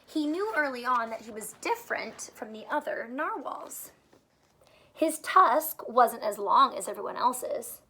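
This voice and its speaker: A woman reading a children's picture book aloud like a teacher, expressive and pausing to point out details in the pictures. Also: on the high side, slightly rough, poor audio. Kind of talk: children's story read-aloud with asides.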